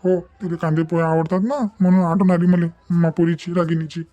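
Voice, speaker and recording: A man's voice: high-pitched, steady tone, a little fast, warm tone, dull audio, close to an everyday voice. A man with a Vidarbha Marathi accent playing a hurt, sentimental middle-aged father in a cartoon family drama, grumbling plaintively.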